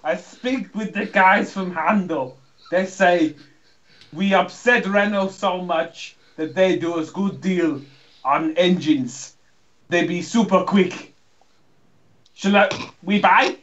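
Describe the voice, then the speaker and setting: A man's voice: sits high, takes it slowly, fairly bright tone, muffled recording. A man voicing an impatient male racing team boss in a jumbled mock European accent, in broken English that swears and stumbles. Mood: scheming. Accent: mixed mock European.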